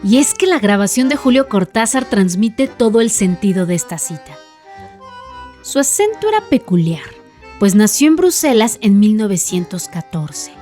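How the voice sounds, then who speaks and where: Woman host reading a documentary script, mid-pitched, calm and even, soft music behind. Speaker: podcast host.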